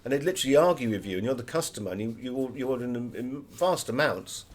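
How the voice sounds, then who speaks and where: A man's voice: medium pitch, quick pace. A man giving conversational interview answers as an author, full of anecdotes.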